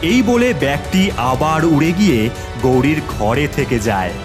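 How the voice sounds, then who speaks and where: Male cartoon narrator, dramatic, animated pitch, unhurried, scripted children's tale. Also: music in the background.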